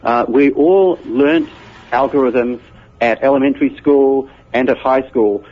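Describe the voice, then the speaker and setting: A man's voice: medium pitch, unhurried. A man with a New Zealand accent, a computer scientist patiently and methodically explaining ideas as a guest in an interview. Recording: muffled radio broadcast audio.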